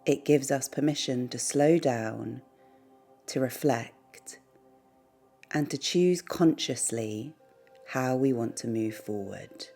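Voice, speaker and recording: A woman leading a guided meditation in a soothing, gentle, unhurried way, pausing between short phrases. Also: extremely low pitch. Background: background music.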